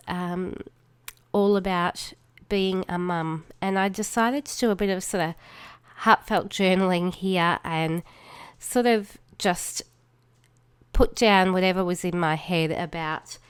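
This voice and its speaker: A woman's voice: fairly low pitch, clear, resonant tone, no echo. A woman with an Australian accent, a little snuffly from a cold, chatting through a crafting voiceover with plenty of ums and trailing asides. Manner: chatty, relaxed, many fillers and trailing asides. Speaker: crafting channel host.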